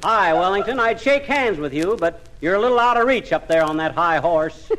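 An actor playing a boastful, wisecracking American husband with folksy slang, in a 1940s radio comedy. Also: male voice, high voice, animated, normal speed. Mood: wisecracking, blustery. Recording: old radio recording, studio audience, faint noise.

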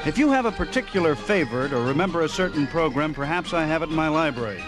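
A man's voice: on the high side, lively intonation, quick pace. An American man hosting a radio show, delivering a polished scripted sign-off. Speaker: radio host. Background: music.

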